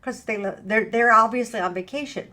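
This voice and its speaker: A woman's voice: on the high side, fairly quick, bright, forceful tone. A middle-aged woman hosting a casual conversation as a recovery counselor, talking emphatically and acting out little dialogues. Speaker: show host, recovery counselor.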